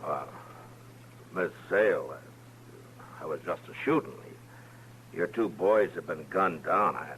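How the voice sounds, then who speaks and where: A male actor with an American accent playing a steady, courteous Old West lawman in a radio western, speaking in a measured, polite way. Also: deep voice. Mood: puzzled, reassuring. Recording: noisy vintage radio recording with hum.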